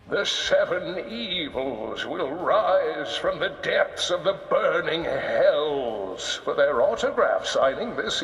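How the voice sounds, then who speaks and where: An actor playing a kindly old scholar in a video game, making slow, solemn, wry announcements with a creaky, weathered voice. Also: male voice, on the high side, a bit bright, full character voice. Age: older. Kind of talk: scripted game announcer voice lines. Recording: echoing hall reverb, music behind.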